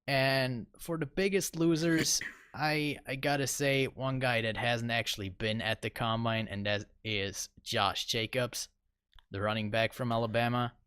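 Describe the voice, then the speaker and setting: A man's voice: medium pitch, steady tone, unhurried, a bit bright, smooth, no room sound, clean studio sound. A young man co-hosting a sports podcast, giving casual, rambling analysis with lots of fillers. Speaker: podcast co-host.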